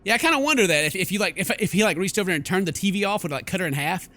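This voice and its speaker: Animated, high-pitched male gaming host. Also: rapid-fire. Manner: playful, exclamatory, theatrical. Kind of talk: animated let's play commentary, reading game text aloud. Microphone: close microphone at a desk. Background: faint game music.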